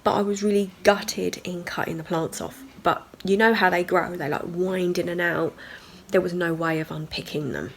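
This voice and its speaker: A woman's voice: lowish voice, steady tone, normal speed, slight background noise. A woman, chatting casually in a video diary at home with a stuffy nose from a cold, rambling with lots of "like" and "so yeah". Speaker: vlogger. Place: at home and in the garden.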